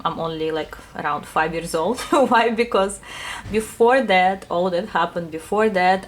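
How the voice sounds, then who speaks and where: Soft-spoken woman with a Russian accent. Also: lowish voice. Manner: soft, unhurried, thoughtful, with many fillers. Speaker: tarot reader answering viewer questions. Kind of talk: casual talk to camera.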